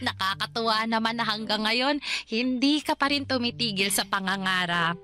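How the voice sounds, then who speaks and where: A woman playing a proud, warm-hearted elderly mother in a radio drama, with a Filipino accent and fond, exclaiming delivery. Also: on the high side, moderate pace. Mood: proud, touched. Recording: music under the dialogue.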